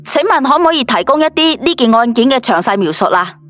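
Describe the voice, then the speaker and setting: A woman's voice: a little high, animated, fast, a bit bright. A woman playing a formal television news reporter in an audio story. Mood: questioning.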